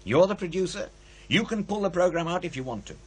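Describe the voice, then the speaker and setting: A man's voice: sits high, expressive, quick pace, a little mellow, breathy edge. An actor playing a stubborn, sceptical male freelance programme maker in a radio play, dry and insistent. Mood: defensive. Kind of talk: naturalistic radio play dialogue.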